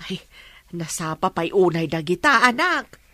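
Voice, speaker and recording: A woman's voice: on the low side, sing-song, measured pace, a bit bright, no room sound. A woman playing an affectionate, fretful elderly mother in a radio drama, speaking with a Filipino Ilocano accent. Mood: doting, hesitant.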